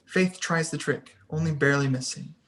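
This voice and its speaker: Mid-pitched reader of screenplay directions. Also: moderate pace, fairly bright tone, slight background noise. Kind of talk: scripted narration at a table read.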